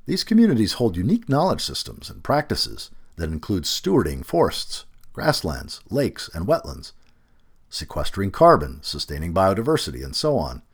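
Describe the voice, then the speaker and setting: Deep male narrator reading an article, really expressive up and down, slightly husky. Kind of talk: scripted narration of an essay.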